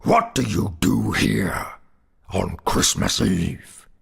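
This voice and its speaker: Storybook goblin: stern and malicious, gravelly, husky, drawn-out taunting delivery. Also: male voice, huge pitch swings, exaggerated voice. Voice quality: deep, forceful.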